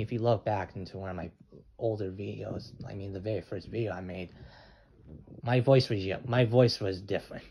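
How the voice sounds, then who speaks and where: Halting young man, gravelly low voice. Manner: halting, repeats words and restarts often. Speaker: channel owner. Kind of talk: rambling casual vlog. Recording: dull lo-fi with faint noise.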